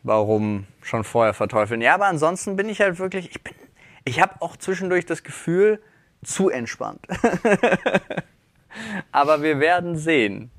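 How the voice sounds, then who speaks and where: A man's voice: fairly high pitch, lively intonation, normal speed, dry recording, studio quality. A youngish to middle-aged man chatting casually as a podcast co-host, thinking aloud in loose, colloquial digressions. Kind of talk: casual chat between friends. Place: dry, close-miked recording.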